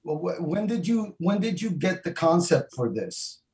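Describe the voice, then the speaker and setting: A man's voice: sits high, very animated, normal speed, a little mellow. A man hosting a podcast, talking loosely and enthusiastically, with stammering restarts. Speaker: podcast host. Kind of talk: casual podcast chat. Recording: roomy echo.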